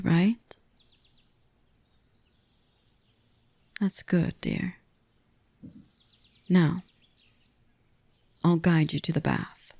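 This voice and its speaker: Woman acting as a gentle dominant dark elf lady, mellow, dry close sound, soothing, reassuring, half-whispered, intimate ASMR roleplay. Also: on the low side, vocal fry, breathy edge, no echo, heavily acted. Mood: gentle, caring.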